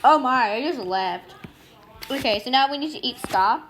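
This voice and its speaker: A woman's voice: high-pitched, animated, normal speed, fairly bright tone, a little hiss or hum. A woman giving casual, playful and silly gameplay commentary, reading out her roleplay as she goes. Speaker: video game streamer.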